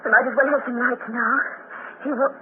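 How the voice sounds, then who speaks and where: An actress playing a frightened, highly strung young woman in a 1950s radio drama, trying to be brave and often faltering. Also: fairly even intonation, a little fast, lightly acted. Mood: insistent. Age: young adult. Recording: muffled, noisy 1950s radio recording.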